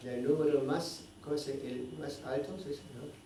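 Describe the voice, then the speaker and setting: A man giving a scripture class, often pausing to search for words and checking in with his listeners with a questioning tag. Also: medium pitch, fairly even intonation. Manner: searching for words, constant "¿no?" tags. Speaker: spiritual teacher. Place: indoor temple hall. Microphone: microphone at a distance. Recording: very echoey hall, distant mic, faint noise.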